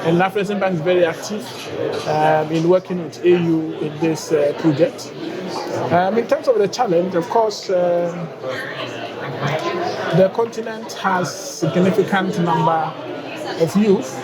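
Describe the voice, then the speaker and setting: A man from Ghana with a Ghanaian accent, heading a bank's guarantees unit and answering interview questions in a measured way with frequent hesitations. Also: high voice. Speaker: bank guarantees unit head. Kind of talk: conference interview. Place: busy trade conference floor. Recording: loud crowd behind.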